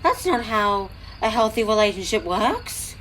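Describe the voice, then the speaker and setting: A woman's voice: medium pitch, sing-song, a little slow, a bit bright, slight background noise, rough recording. A woman playing a sensible, frank female locomotive with a firm, reproving manner in an audio drama. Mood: frank, earnest. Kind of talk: fan audio drama acting.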